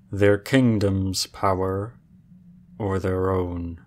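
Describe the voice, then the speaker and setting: A man playing an aloof, regal dragon in human form for an ASMR roleplay, speaking in formal, measured, deadpan phrases. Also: low-pitched, slight background noise. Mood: suspicious, cool. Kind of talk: ASMR roleplay audio drama.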